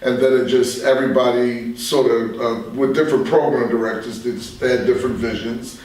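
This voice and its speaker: An older man recalls his radio days in a conversational, rambling way. His voice sits at a middle pitch. He is recorded at a distance from the microphone in a strongly reverberant room. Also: steady tone, moderate pace, darkish tone. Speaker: interview guest, radio veteran. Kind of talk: conversational reminiscing.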